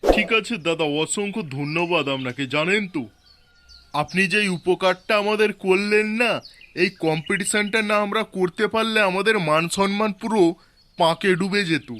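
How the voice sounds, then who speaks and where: Man voicing a high-voiced, boastful village lad. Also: normal speed, a bit bright. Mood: polite. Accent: West Bengali. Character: boastful young village festival committee man. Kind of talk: amateur comic cartoon dub.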